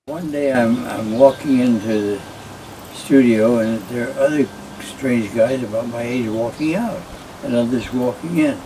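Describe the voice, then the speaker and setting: Older man, unhurried storyteller quoting old conversations, recorded at a distance over clear noise. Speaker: former children's TV host. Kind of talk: casual storytelling. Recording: distant mic, loud vehicle-like background rumble.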